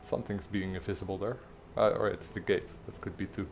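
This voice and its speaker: A man from the Netherlands, chatting casually and haltingly while livestreaming a game. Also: low voice, vocal fry, background noise. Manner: relaxed, halting, many fillers. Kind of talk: casual gameplay commentary.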